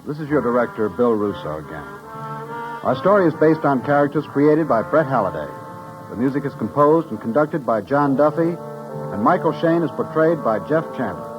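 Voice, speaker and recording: A man's voice: mid-range voice, animated, normal speed. A man reading scripted closing credits as a radio director, on a muffled old radio recording. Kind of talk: scripted 1940s radio announcing. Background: music.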